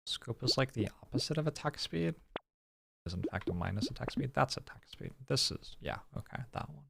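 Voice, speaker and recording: A man's voice: medium pitch, expressive, brisk, creaky, a bit bright, breathy edge. A man from New Zealand giving casual live gameplay commentary as a streamer, musing and chatting with viewers in a dry, rambling way. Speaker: video game streamer.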